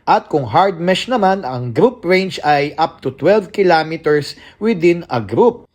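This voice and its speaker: A man's voice: on the high side, expressive, measured pace, darkish tone. A Filipino man reading scripted product narration as a voice-over. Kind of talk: scripted spec narration, mixing Tagalog and English.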